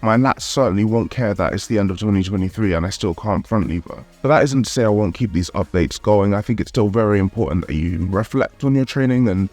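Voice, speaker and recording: A man's voice: lowish voice, a little fast, few pauses, a little mellow, faint music behind. A man narrating his calisthenics vlog in a candid, upbeat way, sounding a little nasal from a cold. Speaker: calisthenics vlogger.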